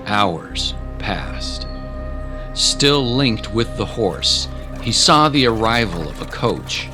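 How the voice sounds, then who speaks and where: A man reading scripted dramatic narration of a fantasy adventure in a measured, deliberate way with pauses for effect. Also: fairly low pitch. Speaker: storyteller, narrator.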